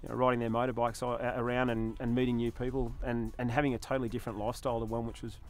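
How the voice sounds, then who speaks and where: A man's voice: mid-range voice, flat delivery, rapid-fire, faint music behind. An Australian man in his forties, a farmer talking casually in an outdoor interview. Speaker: novice farmer being interviewed. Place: outdoors on a farm hillside.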